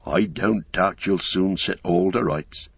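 Man voicing a garrulous, husky rustic innkeeper. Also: low voice, slow pace, dry recording, highs cut off, exaggerated voice. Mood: hearty, frank. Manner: rambling, folksy, rustic turns of phrase. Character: broad-faced, garrulous, good-hearted, flustered male innkeeper. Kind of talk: audiobook character acting.

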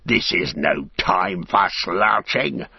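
A man's voice: deep voice, expressive, slow, creaky, breathy edge, no echo, highs cut off, full character voice. A man voicing a brutal orc slave driver in a fantasy storybook, snarling and jeering as he barks. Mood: barking.

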